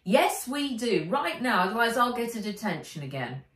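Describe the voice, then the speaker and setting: A woman's voice: a little high, very animated, moderate pace, a bit bright, roomy sound, voice pushed up. A woman voicing a chirpy, bossy schoolgirl friend on a call in a storybook reading. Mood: brisk, chirpy.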